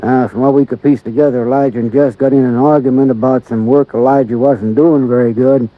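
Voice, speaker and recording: A man playing a slow-talking beefy deputy sheriff. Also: mid-range voice, steady tone, no echo, close to an everyday voice. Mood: laconic. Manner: folksy, unhurried drawl with rural grammar. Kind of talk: 1950s radio drama acting. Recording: dull lo-fi old radio recording.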